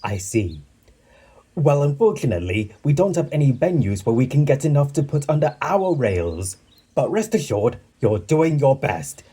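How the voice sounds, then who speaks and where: A man's voice: medium pitch, sing-song, moderate pace, mellow, breathy edge. A man playing a kindly island boss in an audio drama, courteous, encouraging and a little formal. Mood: reassuring. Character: kindly male island boss reassuring young workers. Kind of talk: scripted audio drama dialogue.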